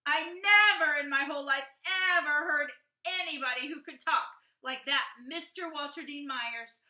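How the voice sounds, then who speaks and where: A woman voicing an earnest, gushing schoolboy in an expressive storybook read-aloud, leaning emphatically on repeated words. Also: high-pitched, voice pushed up. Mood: excited awe. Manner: emphatic, halting repetition of words. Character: earnest, gushing schoolboy writing journal poems and letters. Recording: echoey room.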